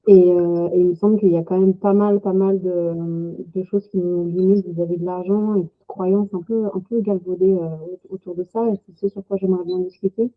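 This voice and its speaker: A woman's voice: fairly low pitch, fairly even intonation, fairly quick, few pauses. A woman hosting a casual podcast interview, searching for her phrasing, on a thin lo-fi recording. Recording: thin phone-like lo-fi connection.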